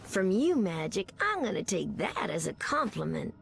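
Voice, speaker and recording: An actress playing a sassy, confident Southern American mutant superheroine, trading folksy, drawling banter in a video game. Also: female voice, on the low side, sing-song, normal speed, gravelly, slight background noise, heavily acted. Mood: playful, sassy. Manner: drawling folksy banter, calls people sugar. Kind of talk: video game character dialogue.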